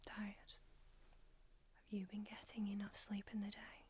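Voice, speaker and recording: A woman whispering in an ASMR roleplay as an ancient, aloof female vampire doctor, unhurried and drily haughty. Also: mid-range voice, monotone, darkish tone, slightly rough. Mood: languid haughty. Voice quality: whispered throughout. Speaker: ASMR creator.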